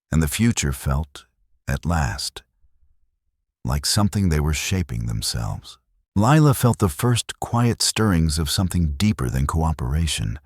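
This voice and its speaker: A man narrating a romantic western story in a measured, earnest way. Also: very deep voice, husky, no room sound, clean studio sound. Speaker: audiobook narrator.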